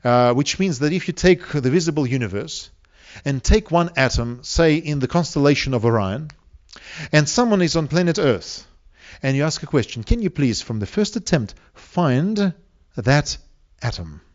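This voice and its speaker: A man from Siberia with a Russian accent preaches to an audience, speaking deliberately with rhetorical questions and emphatic pauses. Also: fairly high pitch, expressive, muffled recording. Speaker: preacher, evangelistic seminar speaker. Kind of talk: sermon to a live audience.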